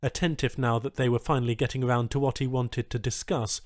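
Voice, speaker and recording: A male narrator reads science fiction prose in a medium-pitched voice. It is scripted audiobook storytelling, and the recording is very dry, with no room sound around the voice. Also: steady tone, fast talker, no echo.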